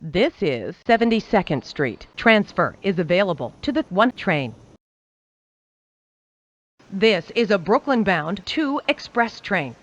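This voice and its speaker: A woman giving recorded train announcements in a measured, formulaic announcer delivery. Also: mid-range voice, big pitch swings. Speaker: transit announcer.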